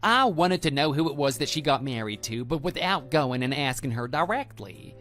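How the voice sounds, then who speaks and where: A man's voice: mid-range voice, expressive, normal speed, a bit bright, natural voice. A man voicing a brash, evasive young former student in a scripted audio drama, with a flippant, defensive manner. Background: background music.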